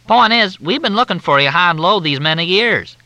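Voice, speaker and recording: A man's voice: high voice, animated, a little fast, bright, forceful tone, no room sound, pitched above the performer's own voice, full character voice. A man voicing a courteous Southern army major with a thick drawl that makes everything sound like a question. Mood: folksy, chatty.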